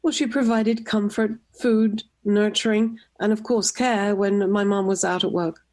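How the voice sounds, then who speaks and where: A middle-aged woman from Tunisia, a nonprofit director, giving measured, composed answers in a radio interview. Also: mid-range voice, fairly even intonation, darkish tone. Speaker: guest, nonprofit executive director.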